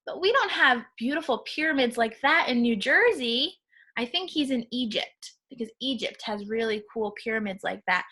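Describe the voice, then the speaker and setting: A woman reading a picture book aloud to young children, pausing to explain things simply and instructively. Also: high-pitched, bright, forceful tone.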